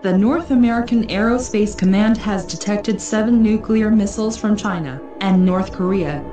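A woman's voice: mid-range voice, echoey room, recorded from a distance. A woman reading a scripted emergency alert as an announcer.

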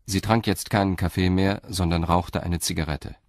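A man's voice: low voice, fairly even intonation, moderate pace, no echo, studio quality. A man reading scripted narration for a crime radio play.

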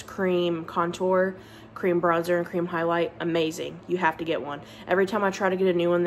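An American woman chatting casually as a vlogger about products, with a gushing, emphatic manner. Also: fairly low pitch. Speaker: beauty and book vlogger. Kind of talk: casual chat, makeup product rundown. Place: casual vlog while at a beach trip. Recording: clear ambient background noise.

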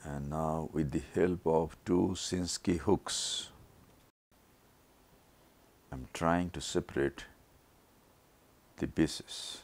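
Very deep, slow-talking male surgeon. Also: fairly even intonation, a little mellow. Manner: slow, measured, step-by-step explanation. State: recovering from a throat infection, voice not normal. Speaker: eye surgeon narrating his surgery. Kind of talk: slow surgical teaching narration.

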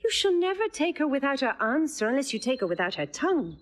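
A woman's voice: sits high, sing-song. A woman acting a witty young woman in love, teasing and playful in a stage drama. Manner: playful, quick-witted, teasing. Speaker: actor playing Rosalind.

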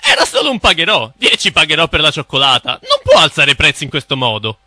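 A naive young cartoon guy, easily shocked. His pitch is high and swoops around in a lively way. He sounds flustered, whiny and incredulous, with a very bright edge. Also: quick pace, no room sound, clean studio sound, exaggerated voice. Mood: whining, indignant. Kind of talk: animated explainer cartoon dialogue.

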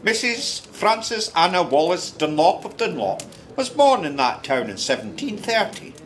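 Lively, unhurried Scottish fireside storyteller. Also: male voice, high-pitched, a little hiss or hum, full character voice. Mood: wry storytelling. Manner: leisurely, hospitable, folksy. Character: convivial Scottish fireside storyteller, fond of a dram. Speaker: narrator. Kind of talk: storytelling in an audio drama.

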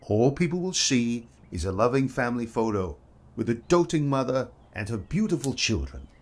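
An actor playing a kindly, modest portrait photographer in a period audio drama, polite, reassuring and slightly hesitant. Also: male voice, mid-range voice, very animated, moderate pace. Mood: encouraging.

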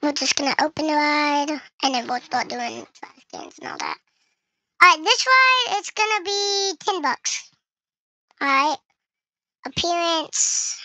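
Young gamer, voice changer effect, very high pitch, dry close sound, casual commentary. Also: lively intonation, unhurried, sharp tone, no room sound, low-quality recording. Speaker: gaming video creator.

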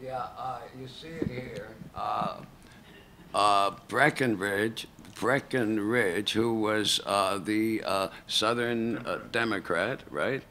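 A man's voice: fairly low pitch, slow, bright, forceful tone, slight background noise. An older man on a panel, speaking hesitantly and searching for his words.

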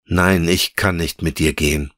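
A man voicing a wise, gentle female elf in a fairy-tale audiobook, speaking in a measured, patient and tender way. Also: deep voice, steady tone, no echo, studio quality. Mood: gentle, explaining. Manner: measured, patient, lyrical explaining.

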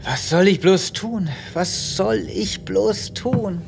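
A man's voice: sits high, takes it slowly, vocal fry, background noise, full character voice. A man playing a vain, self-pitying space veterinarian in a comic audio drama, melodramatic and whiny. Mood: torn. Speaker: lead actor of an audio drama series.

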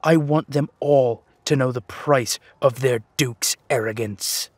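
Audio drama villain, played by a man: ruthless, coolly mocking, husky, slow and deliberate. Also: mid-range voice, a little mellow, dry recording. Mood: fierce, vengeful. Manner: slow, deliberate, menacing delivery.

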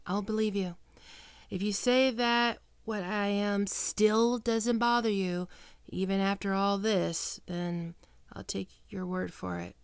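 A woman playing a guilt-ridden, tender young ghoul best friend in an intimate ASMR roleplay, speaking softly and haltingly with emotion. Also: medium pitch, clean tone, no room sound. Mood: shy, unsure. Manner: soft, hesitant, emotional, halting.

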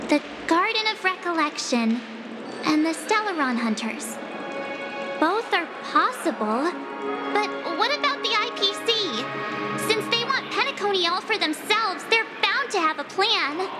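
Bubbly curious anime game girl, super high voice, loud music under it. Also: lively intonation, a little slow. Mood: curious, pondering.

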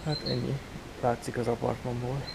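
Young man, casual vlogger, mid-pitched with gravelly fry, noisy outdoor camera audio. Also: level tone. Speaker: vlogger filming behind the scenes. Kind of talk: casual vlog talk.

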